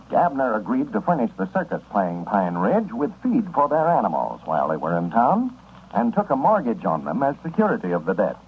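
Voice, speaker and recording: An American man as a 1930s radio announcer, delivering scripted advertising and story introductions in an emphatic, folksy sales style. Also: medium pitch. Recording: dull, noisy 1930s radio recording.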